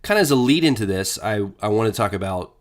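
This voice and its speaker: A man's voice: lowish voice, lively intonation, quick pace. Rambling, playful podcast man. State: slight scratch in throat. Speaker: podcast co-host. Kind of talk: casual podcast chat and explaining.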